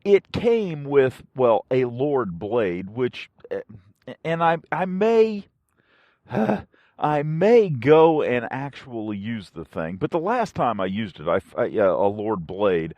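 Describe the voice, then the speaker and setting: A male podcast host talks in an unhurried, folksy ramble. His pitch swings up and down in a lively way as he pauses often mid-thought. The sound is dry and close, like a casual solo review. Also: no echo, muffled recording.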